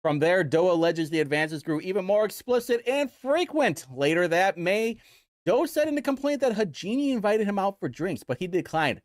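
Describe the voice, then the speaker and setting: Sarcastic young Midwestern man, lively pitch. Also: normal speed, no echo, clean studio sound. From Indiana, United States. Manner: sarcastic, mocking. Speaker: host. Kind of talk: casual commentary show.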